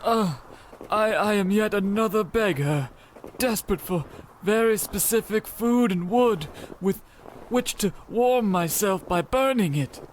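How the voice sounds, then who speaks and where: A man playing a hurried, desperate beggar in a comedy radio play, with faint noise behind him. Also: high-pitched, lively intonation. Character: hurried, desperate second beggar, overly specific.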